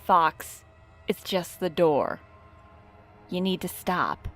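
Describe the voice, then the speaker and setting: A woman voicing a cool, sardonic young woman who talks in a dry, deadpan way. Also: lowish voice, slow, faint music behind.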